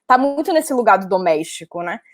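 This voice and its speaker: Lady researcher, average pitch, thinking out loud analytically, from bahia. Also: sing-song, fairly quick. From Salvador, Bahia, Brazil. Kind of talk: reflective analytical commentary.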